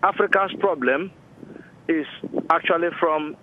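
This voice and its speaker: A man calling a talk show by telephone, giving measured commentary in a formal, deliberate way with pauses. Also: a little high, slight background noise. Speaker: phone-in caller. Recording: narrow-band telephone line.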